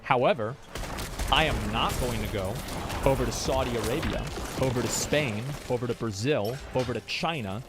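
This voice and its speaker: A man's voice: mid-range voice, moderate pace, very noisy. A young man streaming, rambling and arguing his views in casual talk. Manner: rambling, argumentative, restarts often. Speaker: streamer reacting to chat.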